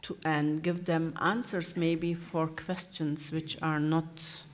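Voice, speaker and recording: Woman with halting English. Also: deep voice, little pitch movement. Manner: halting non-native English, searching for words. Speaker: panel guest. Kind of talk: panel discussion answer. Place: public debate hall.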